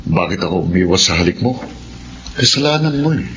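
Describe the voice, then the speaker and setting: A low-voiced Filipino family man, resentful and authoritative, in a radio drama. The sound is dull with clear background noise. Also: very animated, fairly quick, fairly bright tone. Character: resentful, authoritative husband and father. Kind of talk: radio horror drama acting.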